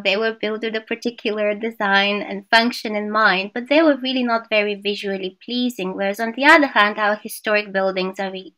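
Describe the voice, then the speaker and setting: A woman's voice: on the high side, a bit bright, no echo, rough recording. A woman with a Bulgarian accent giving thoughtful, enthusiastic, reflective answers as an academic interview guest. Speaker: guest, philosopher of science.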